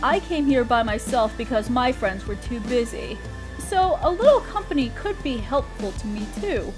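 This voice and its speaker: Woman acting a high-pitched, playful, theatrical witch. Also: lively intonation, moderate pace, over music, natural voice. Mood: kind, reassuring. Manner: theatrical, coy, old-fashioned endearments. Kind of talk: scripted roleplay audio drama.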